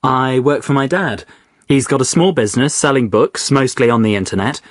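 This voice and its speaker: Rafa, a man playing a relaxed young guest. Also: medium pitch, moderate pace, dry recording, clean studio sound, natural voice. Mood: easygoing. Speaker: podcast guest. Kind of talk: scripted conversation.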